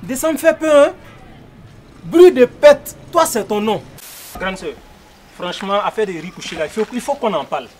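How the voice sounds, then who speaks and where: A man's voice: high voice, lively intonation, moderate pace, pauses often, slight background noise. A man in a comedy sketch, bickering and accusing. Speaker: comic actor.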